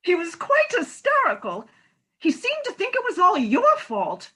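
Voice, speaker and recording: A woman's voice: very high-pitched, wildly swooping pitch, unhurried, bright, forceful tone, heavily acted. A woman playing an earnest, distressed unmarried mother, a nurse pleading her case in a comic stage farce reading. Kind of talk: comic farce readers theatre.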